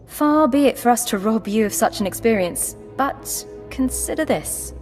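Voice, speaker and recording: Smooth-talking scheming Sith acolyte, voiced by a woman. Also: a little high, expressive, a little mellow, soft background music, natural voice. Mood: sly persuasion. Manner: polite, measured, wryly persuasive. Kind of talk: video game voice acting.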